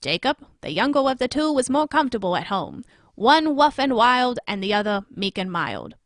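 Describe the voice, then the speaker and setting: A woman playing an earnest, probing TV newsmagazine anchor in an audio drama, with measured, dramatic narration. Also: a little high, very animated, dry recording, studio quality. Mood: grave, intrigued. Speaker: host.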